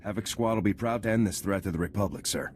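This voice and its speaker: A resolute male commando captain in a video game, played by an actor. His voice is very deep and his delivery quick and confident, with faint ambience behind it. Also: a little hiss or hum, close to an everyday voice. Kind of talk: video game dialogue acting.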